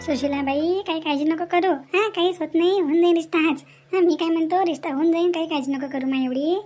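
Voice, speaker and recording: A woman's voice: very high-pitched, fast talker, a little mellow, soft background music. A woman voicing a comforting, moralising village neighbour in an amateur cartoon, emphatic and repetitive, with a Varhadi Marathi accent. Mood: reassuring.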